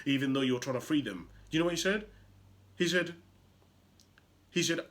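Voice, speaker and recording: A man from Uganda hosting a motivational show, talking emphatically with rhetorical questions and swinging from loud to hushed. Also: medium pitch. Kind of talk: impassioned motivational monologue.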